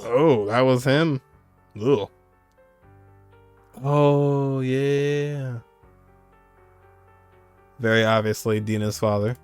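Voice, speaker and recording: A man's voice: medium pitch, extremely slow, long pauses, clean tone, no room sound, faint music behind. A man giving casual live commentary on game cutscenes, in slangy short exclamations. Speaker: game streamer reacting to cutscenes.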